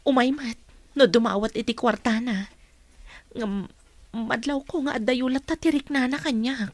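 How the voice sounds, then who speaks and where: A woman's voice: fairly high pitch, normal speed, dry recording, lightly acted. A woman acting a patient, concerned mother in a radio drama, speaking earnestly. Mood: worried.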